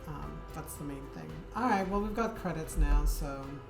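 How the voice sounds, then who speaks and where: A woman streamer chatting casually with her viewers in a soft, friendly, hesitant way, with frequent ums. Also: sits low, moderate pace, vocal fry, over music. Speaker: Twitch streamer. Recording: echoey room with steady background noise.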